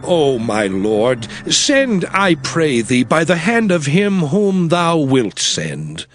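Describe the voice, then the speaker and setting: An actor playing a humble, hesitant prophet who pleads earnestly and haltingly in a dramatized scripture recording. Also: male voice, a little high, very animated, soft background music. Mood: anxious pleading.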